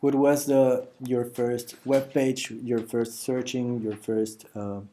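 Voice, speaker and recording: A man interviewing a guest in a soft, warm tone. He feels his way through each question with frequent "uh"s and restarts, and his pitch sits in an ordinary middle range. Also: flat delivery, slow. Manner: hesitant, many "uh" fillers and restarts. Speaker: host and interviewer. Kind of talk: halting interview questions in two languages.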